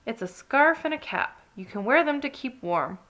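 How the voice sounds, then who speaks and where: A woman's voice: high-pitched, animated, moderate pace, fairly bright tone, voice pushed up. A woman voicing a bossy, caring female rabbit in a children's storybook, exclaiming and encouraging in a cheerful way. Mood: eager, kind.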